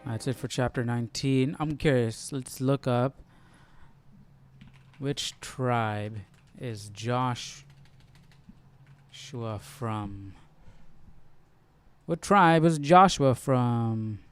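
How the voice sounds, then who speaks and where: A man's voice: mid-range voice, extremely slow, pauses often, smooth. A man hosting a live study stream, thinking aloud in a casual, inquisitive way. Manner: musing, inquisitive. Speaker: host of a Bible study stream.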